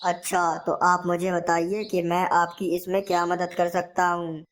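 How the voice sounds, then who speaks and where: A woman's voice: lowish voice, flat delivery, measured pace, slight background noise, natural voice. A woman voicing a courteous, helpful male owl in a children's story cartoon. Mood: obliging.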